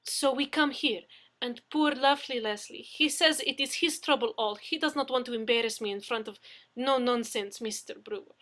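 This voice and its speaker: A woman's voice: a little high, normal speed, a bit bright, pitched above the performer's own voice, lightly acted. A woman voicing a coy, effusive young Russian woman who speaks broken English with a Russian accent in a story. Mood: pleading. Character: coy, theatrically pleading young foreign woman, Russian fiancée.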